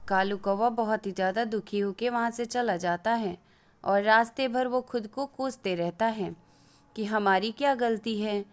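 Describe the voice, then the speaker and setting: Female narrator for children's fables, slightly high voice, scripted storytelling. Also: a little slow, clean tone.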